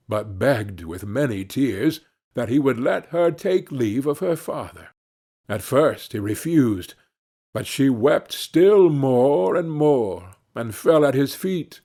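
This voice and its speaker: Slow-paced man reading a fairy tale. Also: medium pitch, a little mellow. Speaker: audiobook reader. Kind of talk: scripted fairy tale narration.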